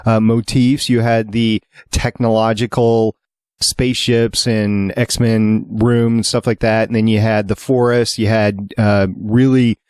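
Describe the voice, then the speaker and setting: A man's voice: on the low side, level tone, measured pace, darkish tone, smooth, no room sound. Man hosting a podcast, close dry sound. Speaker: podcast host. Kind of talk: casual panel chat.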